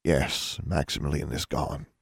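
Man as a deep-voiced, solemn, stern father. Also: level tone, gravelly, husky, no echo, clean studio sound, exaggerated voice. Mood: grave. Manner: grave, formal, measured. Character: solemn, stern father, grave and controlled wizard. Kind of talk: dramatic audiobook character acting.

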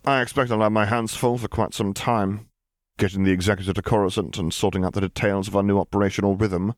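A man's voice: fairly low pitch, steady tone, fast talker, no room sound, studio quality, lightly acted. A man voicing a reserved middle-aged naval officer with formal, polite phrasing, in an audiobook story. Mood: reserved, resigned.

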